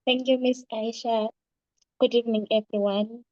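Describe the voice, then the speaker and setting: A woman's voice: a little high, steady tone, moderate pace, dark tone, dry recording. Formal Zimbabwean woman on muffled call. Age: young adult. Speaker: regional coordinator of a student association. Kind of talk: prepared presentation on an online meeting. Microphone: online call microphone.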